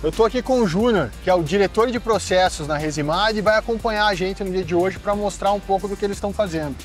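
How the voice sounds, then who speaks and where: A high-voiced Brazilian man hosts an outdoor sawmill tour, upbeat and steering his guest with leading questions. Music plays softly under the outdoor recording. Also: fast. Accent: Brazilian Portuguese. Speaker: host. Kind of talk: on-site company tour interview.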